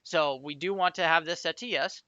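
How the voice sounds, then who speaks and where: A man's voice: on the high side, normal speed, pushed and bright, clear, resonant tone, no room sound, muffled recording. A man giving an informal, explanatory step-by-step screencast tutorial in a conversational way, as an instructor.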